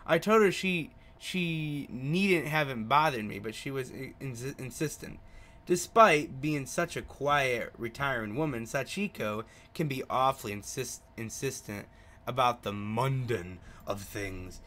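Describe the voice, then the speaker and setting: Bright-voiced man reading a game aloud. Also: medium pitch, measured pace. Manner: stumbles over written words, rereads lines. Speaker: gaming video host. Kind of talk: reading a visual novel aloud with asides.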